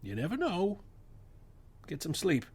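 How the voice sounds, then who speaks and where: A man's voice: sits high, huge pitch swings, a little slow, darkish tone, breathy edge, full character voice. A man playing a grave, learned professor who speaks precisely and with authority in a radio-play reading. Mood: measured, probing.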